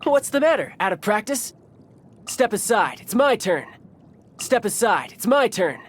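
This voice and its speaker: A man's voice: high voice, big pitch swings, a little slow, full character voice. A man voicing a cocky, friendly young warrior in a video game, with a teasing, confident manner. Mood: battle-ready, taunting. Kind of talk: video game character voice lines.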